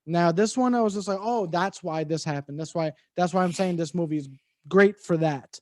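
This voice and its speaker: A man's voice: high voice, moderate pace, darkish tone, no room sound, studio quality. A man cohosting a casual movie review podcast, talking bluntly with plenty of swearing and restarts. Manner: blunt, profane, rambling with restarts.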